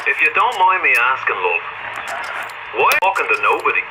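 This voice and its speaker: An actor playing a smug, menacing crime boss in a video game, teasing and falsely polite over a radio. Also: male voice, mid-range voice, very animated, moderate pace. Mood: mocking. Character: sly, menacing male crime boss with mocking charm. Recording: very noisy radio-like game audio.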